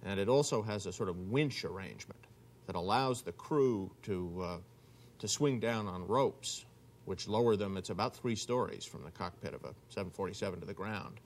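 A man's voice: on the low side, normal speed. A middle-aged man in a television news studio explaining a point in a conversational, explanatory way. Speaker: news anchor. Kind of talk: live news discussion.

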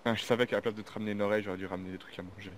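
Man playing uneasy zombie survivor, quick rambling talk, lowish voice, urgent, informal game roleplay. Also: steady tone, close to an everyday voice. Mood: worried. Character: uneasy, talkative male zombie-apocalypse survivor. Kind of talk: improvised French video game roleplay. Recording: background noise under the voice.

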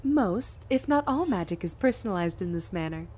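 A woman voicing a gentle motherly goat monster in a comic dub, speaking formally, precisely and earnestly. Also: medium pitch, lively intonation, normal speed, slight background noise, close to an everyday voice. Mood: instructive.

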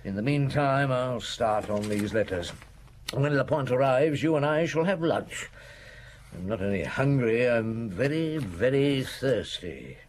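An actor playing a shrewd, unhurried police chief in a radio detective drama, pondering aloud with dry wit. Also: male voice, medium pitch, animated, lightly acted. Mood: businesslike. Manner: ruminative, hesitant, dryly wry. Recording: noisy old radio drama broadcast.

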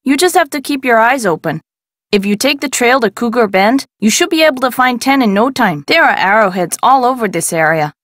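A woman's voice: a little high, animated, a little fast, fairly bright tone, dry recording, studio quality. An actress playing a friendly middle-aged woman who runs a desert craft shop in a video game, talking in a folksy, conversational way. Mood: helpful, chatty. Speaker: shop owner character in an adventure game. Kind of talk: scripted video game dialogue.

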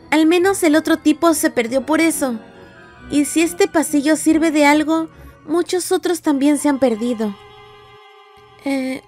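A woman voicing an anxious young cartoon jester, earnest, weary and hesitant. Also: sits high. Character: anxious young female jester trapped in a digital circus. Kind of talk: dramatic cartoon fan dub acting.

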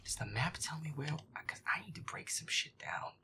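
A man playing a feisty, grumbling werewolf boyfriend, muttering sweary, exasperated commentary over a scary video game in a breathy, gravelly voice. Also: a little high, animated, normal speed, whispered. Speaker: gameplay performer. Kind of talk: hushed in-character gameplay commentary.

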